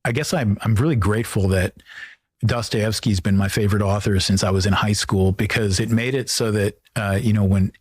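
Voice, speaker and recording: Husky, hesitant man, low voice. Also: steady tone, brisk, no room sound, studio quality. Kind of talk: conversational interview.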